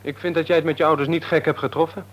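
A man playing a cheeky, higher-voiced nineteen-year-old lad. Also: level tone, fast, lo-fi sound, close to an everyday voice. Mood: breezy. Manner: breezy, teasing, bantering. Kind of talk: Dutch radio play acting. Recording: old radio drama recording.

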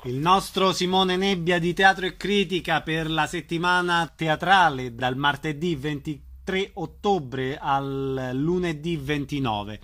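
A man's voice: a little high, takes it slowly, few pauses, fairly bright tone, soft background music. A man hosting a live radio interview. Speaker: radio host.

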